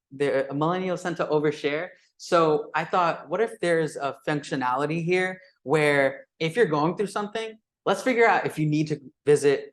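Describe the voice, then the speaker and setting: A man in his twenties whose first language is Urdu, giving a live software demo at a meetup, halting with many fillers and restarts and tagging points with "right?". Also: fairly high pitch. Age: 20s. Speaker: teacher presenting at a meetup.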